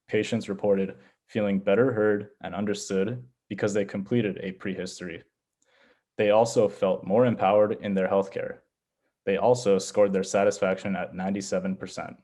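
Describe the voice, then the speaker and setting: Guy reading out explanations, fairly deep and mellow, health education style. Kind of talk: scripted explanatory answers.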